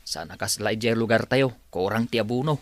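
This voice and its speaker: An actor playing a cheerful, polite young farm worker in an Ilocano radio drama, with an Ilocano accent. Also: male voice, on the low side, brisk, dry recording, lightly acted. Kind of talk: Filipino radio drama acting.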